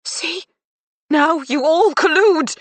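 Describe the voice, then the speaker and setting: Bitter mum, high and accusing, read by a woman narrator. Dry, close sound. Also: slow, no echo. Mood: aggrieved. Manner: accusing, shrill and clipped. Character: bitter, scornful middle-aged mother.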